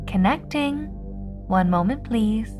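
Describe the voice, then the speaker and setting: A woman playing a deliberate, theatrical 1950s telephone switchboard operator who hosts with arch, florid showmanship. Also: fairly high pitch, animated, over music. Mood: businesslike. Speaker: host, switchboard operator narrator. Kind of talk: theatrical scripted narration, anthology radio drama.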